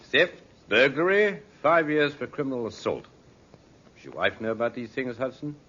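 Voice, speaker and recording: An actor playing a dry, shrewd police inspector in a 1950s radio drama, with measured, sceptical questioning. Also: male voice, mid-range voice, expressive, a bit bright. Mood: dryly probing. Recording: muffled, scratchy 1950s radio recording.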